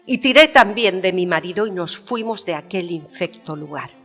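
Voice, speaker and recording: Audio-fiction queen, played by a woman: proud, bitter, emphatic, lower register, big reverb with strings behind. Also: lively intonation, normal speed, fairly bright tone. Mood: indignant, haughty. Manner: emphatic, haughty, theatrical. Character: proud, bitter, passionate Spanish queen, defiant and indignant. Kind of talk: dramatised historical interview, audio fiction. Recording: long reverberant hall sound. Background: violin music.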